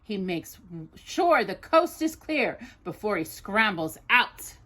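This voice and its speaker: A woman reading a children's picture book aloud as a storytime host, with expressive, deliberate delivery for a young child. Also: medium pitch.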